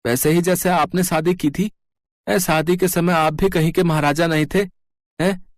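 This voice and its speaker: A man's voice: a little high, fairly even intonation, normal speed, no echo, clean studio sound, close to an everyday voice. A man voicing an impatient, cheeky young beggar eager to be a groom in a cartoon.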